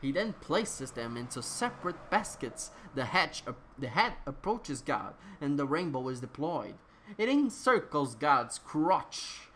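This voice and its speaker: A reader with a medium-pitched voice performs a written text as a dramatic reading. The delivery is declamatory and theatrical, leaning hard on certain words and stretching others out. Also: very animated, a bit bright, a little hiss or hum. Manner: theatrical, declamatory, with emphatic outbursts and drawn-out words.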